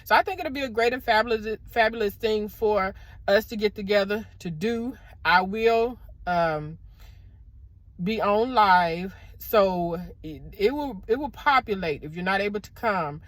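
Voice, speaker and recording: Middle-aged woman, motivational vlogger, loud deep voice, dry close lapel sound. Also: a little slow, no echo. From American South. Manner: warm preacherly encouragement, addresses viewers as y'all. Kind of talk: casual motivational talk to camera. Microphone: clip-on microphone.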